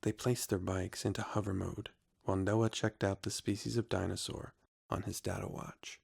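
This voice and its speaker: A man's voice: low-pitched, little pitch movement, moderate pace, gravelly, breathy edge. A man narrating a scripted adventure story.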